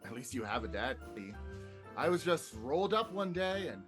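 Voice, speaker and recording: A man playing a friendly, wistful old animated snowman in a live table read of a comedy film. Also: a little high, normal speed, music in the background. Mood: fatherly, wistful.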